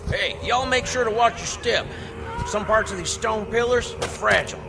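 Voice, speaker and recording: A man's voice: high voice, normal speed, gravelly. A man playing a cocky, wisecracking young gunslinger with brash slang in an audio drama. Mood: excited, urgent. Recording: long reverb, music behind.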